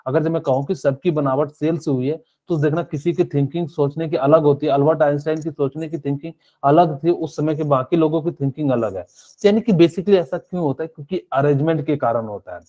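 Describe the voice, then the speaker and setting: A man's voice: a little high, fairly quick, warm tone. A male chemistry teacher giving a live online class, explaining step by step with many fillers and asking students questions.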